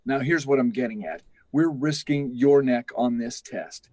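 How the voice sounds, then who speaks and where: A man's voice: medium pitch, a little slow, mellow, no room sound, natural voice. A man playing a careful, insistent space project chief in a 1950s radio drama style. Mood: grave, insistent. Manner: insistent, instructing. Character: stern, careful male test project chief.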